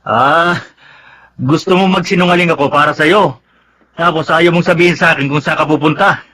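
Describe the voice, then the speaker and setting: A man's voice: fairly high pitch, normal speed, sharp tone, slight background noise. An actor playing a cheeky, girl-crazy young soldier sidekick in a Filipino radio drama, whiny and bantering, with a Filipino accent. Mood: cheeky scheming.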